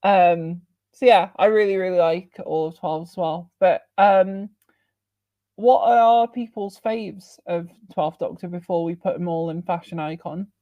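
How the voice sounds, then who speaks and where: Woman host, slow and meandering, lots of filler words, dry close sound. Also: fairly low pitch, dry recording, highs cut off. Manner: rambling, heavy on fillers. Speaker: podcast host. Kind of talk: casual podcast chat.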